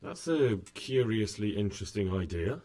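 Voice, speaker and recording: An actor playing an earnest, plain-spoken young errand runner in a medieval video game, direct and questioning. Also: male voice, on the low side, expressive, normal speed, mellow, close to an everyday voice. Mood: earnest, curious. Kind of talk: video game cutscene acting.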